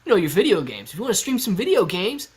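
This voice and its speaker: A man's voice: high-pitched, very animated, fast talker, slightly rough. A man in his thirties hosting a casual live stream from his living room, reading viewer chat aloud, laid-back and chatty, laughing often. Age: 30s. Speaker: stock livestream host. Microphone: laptop on a kitchen stool at eye level.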